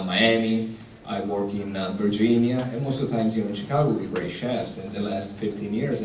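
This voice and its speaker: Italian-accented chef in an echoey hall. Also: male voice, fairly low pitch, darkish tone. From Italy. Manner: direct, practical, with broken grammar. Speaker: executive chef on a panel. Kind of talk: panel discussion, advice. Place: large hall. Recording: echoey hall, distant mic, light noise.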